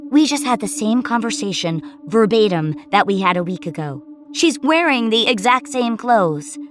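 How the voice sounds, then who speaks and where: A woman's voice: fairly high pitch, animated, normal speed, close to an everyday voice. A woman playing an agitated, sarcastic young female tenant who demands answers in an emphatic, exasperated way in an audio horror story.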